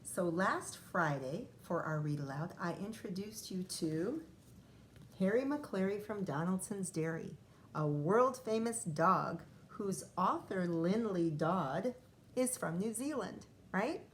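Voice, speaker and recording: A woman's voice: on the low side, lively intonation, takes it slowly, a little hiss or hum. A woman first-grade teacher reading a picture book aloud to young children, expressively drawing out lines and adding chatty asides.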